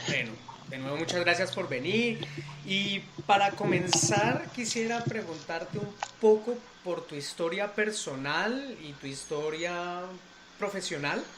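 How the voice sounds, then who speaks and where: A man's voice: sits high, animated, unhurried, heavy background noise. A man speaking as a podcast host and interviewer, articulate and earnest in his delivery. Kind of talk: scripted introduction, then conversational interviewing.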